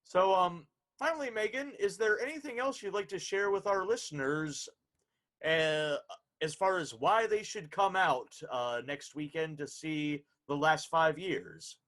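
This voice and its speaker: Male show host and interviewer: polite, announcer-like, somewhat higher register. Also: a little slow, a bit bright. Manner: courteous, announcer-like. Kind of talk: polite radio interview hosting.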